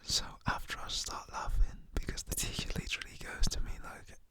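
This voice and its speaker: A man's voice: a little fast, pushed and bright. Whispering young British man telling a story. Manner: casual, slangy, rambling.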